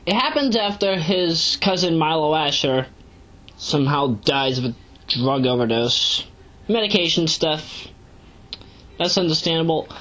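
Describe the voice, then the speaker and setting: A dull, lo-fi home recording of a woman with a lowish voice. She talks through a review casually and without a script. She wanders off into digressions and stresses her side points as she goes. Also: expressive, a little slow, fairly bright tone. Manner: rambling, digressive, emphatic asides. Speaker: amateur web series reviewer. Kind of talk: casual unscripted review commentary.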